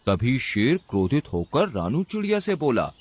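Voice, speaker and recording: A man's voice: medium pitch, huge pitch swings, normal speed. A man narrating a scripted children's story.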